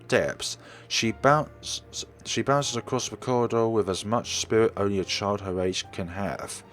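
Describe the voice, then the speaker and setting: A man with a fairly low voice reads a visual novel aloud as a let's play host. He narrates the prose with brief asides, sometimes correcting himself. Faint music runs behind him. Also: measured pace, a bit bright. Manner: stumbles over read lines and corrects himself.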